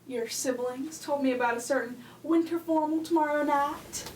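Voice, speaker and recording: A woman's voice: high voice, moderate pace, darkish tone, close to an everyday voice. A woman acting an ailing, loving but firm middle-aged mother in a 1940s holiday radio play, tender yet stern. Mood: firm, fond. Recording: roomy, distant, faint background noise.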